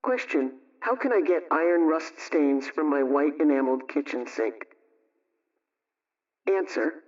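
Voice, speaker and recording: A man reading scripted household advice and recipes in a measured, instructional way, like a 1920s radio programme host. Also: on the high side, expressive. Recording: dull, distant vintage radio sound.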